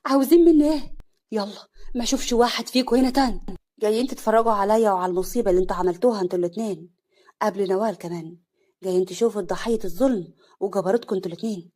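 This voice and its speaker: Woman putting on grieving young wife, bitter, accusing, Egyptian accent, fast. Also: a little high, fairly even intonation, a little mellow, lightly acted. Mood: bitter anger. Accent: Egyptian Arabic.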